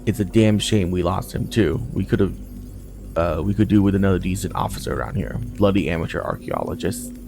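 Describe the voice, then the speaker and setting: A man reads out a gruff, chatty soldier from a fantasy game. The voice sits low with a noticeable creak. The speech is colloquial and blunt, over clear background music. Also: fairly quick. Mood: grumbling. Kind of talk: game dialogue read aloud.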